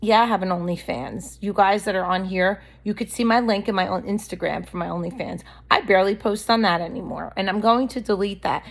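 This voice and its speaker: A woman's voice: medium pitch, brisk, vocal fry, few pauses. A woman vlogger talking straight to camera in a candid, blunt, rambling monologue, her voice a little worn from allergies.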